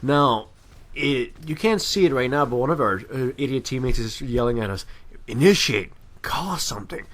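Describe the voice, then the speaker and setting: A man's voice: medium pitch, lively intonation, moderate pace, fairly bright tone. Man casually rambling through gameplay commentary. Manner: rambling, self-correcting, crude slangy talk. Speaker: gaming video commentator.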